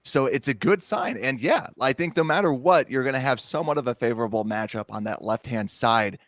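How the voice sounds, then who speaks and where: A man's voice: mid-range voice, a bit bright, no room sound. Agreeable man on a dry mic. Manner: agreeable, quick to affirm, laughs easily. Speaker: podcast guest. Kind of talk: casual sports podcast chat.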